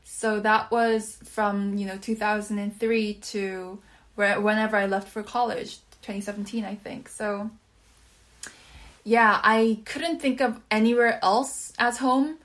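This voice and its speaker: A woman's voice: medium pitch, steady tone, unhurried, a bit bright. A woman in her twenties from South Korea, co-hosting a casual podcast and keeping the talk going with friendly prompts. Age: 20s. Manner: friendly, prompts with short agreements. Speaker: podcast co-host.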